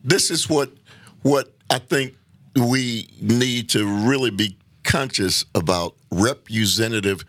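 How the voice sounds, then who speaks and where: A man speaking earnestly as a guest in a casual conversation, halting and repeating words as he builds his point. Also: mid-range voice, fairly bright tone, dry recording.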